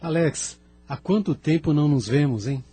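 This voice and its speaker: A man's voice: fairly high pitch, expressive, normal speed, mellow, slight background noise, natural voice. A man with a Brazilian accent playing a courteous, dutiful spirit rescuer in an audio drama, formal in manner. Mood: welcoming. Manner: polite, formal. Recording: music bed behind the voice.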